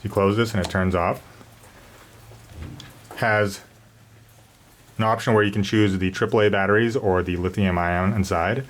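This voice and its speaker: Low-voiced man rambling through a casual review. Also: steady tone, moderate pace, pauses often. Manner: rambling, repeats words. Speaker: product reviewer. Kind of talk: casual unscripted product review. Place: garage during a thunderstorm. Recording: noisy garage with storm ambience.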